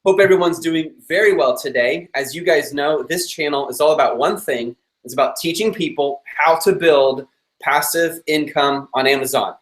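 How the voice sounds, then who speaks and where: A man's voice: on the high side, moderate pace, roomy sound, rough recording. A man hosting a live interview from his office, talking eagerly and chatting with a ready laugh. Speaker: host. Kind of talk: upbeat live-stream interview. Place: his own office room.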